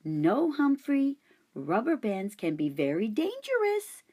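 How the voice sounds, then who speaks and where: Lady doing a soft teary little girl, real high, caring, a bit husky, audiobook. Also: huge pitch swings, slow pace, full character voice. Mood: gently scolding. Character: sweet young girl, tender and caring.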